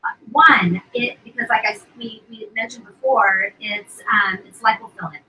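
A woman in her fifties pitching skincare on a live stream, chatty, with plenty of fillers and restarts. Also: normal speed, bright, forceful tone, highs cut off. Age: 50s. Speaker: co-host of a live sales stream. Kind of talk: casual live product pitch. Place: indoor studio set at a table. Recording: distant mic with faint hiss.

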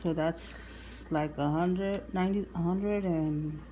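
A woman vlogger showing off her grocery haul in her home kitchen, listing items one by one with halting restarts, filming on a handheld phone. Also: fairly low pitch, steady tone, mellow, slight background noise, rough recording. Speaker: couponing vlogger. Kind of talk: casual grocery haul show-and-tell. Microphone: handheld phone camera filming the table.

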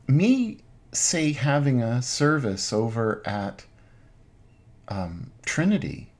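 A middle-aged man, an Anglican priest, giving an informal online sermon from his home den in measured, halting phrases. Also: medium pitch, darkish tone. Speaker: Anglican priest, parish pastor. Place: small home den with bookshelves.

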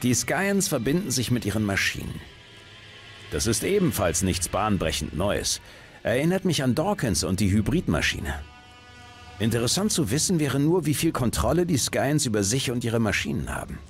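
A man's voice: mid-range voice, very animated, moderate pace, lightly acted. A man playing a tough action hero in a video game, with a dry, laconic and wry delivery. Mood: sceptical, resolute. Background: music.

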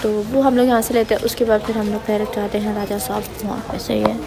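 A woman's voice: mid-range voice, flat delivery, fast talker, gravelly, a crowd in the background. A young adult woman with a Pakistani accent chatting casually for a vlog, mixing in English phrases. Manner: code-switches with English phrases. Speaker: vlogger. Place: home, street, mall and grocery store. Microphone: phone at arm's length. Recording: noisy handheld phone vlog recording.

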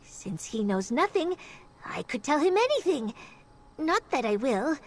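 Earnest, affectionate young fantasy game woman, high register. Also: sing-song, a little slow. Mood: happy, teasing. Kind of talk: scripted fantasy game voice acting.

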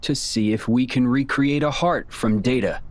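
An actor playing a cold, smug young male villain in a video game, explaining and taunting in measured lines. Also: mid-range voice, darkish tone, close to an everyday voice. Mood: cold, explanatory. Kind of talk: dramatic video game cutscene acting.

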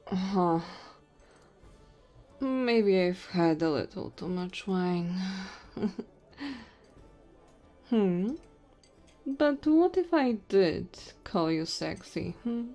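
Woman playing a slow-talking tipsy goblin innkeeper woman. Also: on the low side, expressive, warm tone, faint music behind, full character voice. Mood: teasing, flirty. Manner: teasing, intimate, drawn-out drunken musing. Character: tipsy, flirty, short female goblin innkeeper. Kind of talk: ASMR audio roleplay.

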